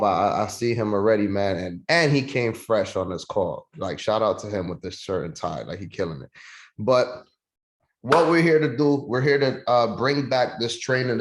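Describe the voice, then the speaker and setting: Slangy New man leading a training. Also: fairly low pitch. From Puerto Rico, raised in New York City. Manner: casual and slangy, calls listeners "man" and "bro". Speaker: sales trainer. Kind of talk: online sales training talk.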